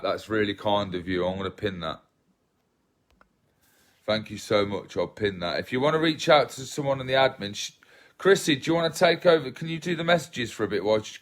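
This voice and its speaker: A man in his thirties from England, hosting a casual livestream from his phone at home, soft-spoken and rambling, often repeating himself as he reads comments. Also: mid-range voice. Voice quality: relaxed voice. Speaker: livestream host. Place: small living room at home, indoors. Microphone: phone held at arm's length.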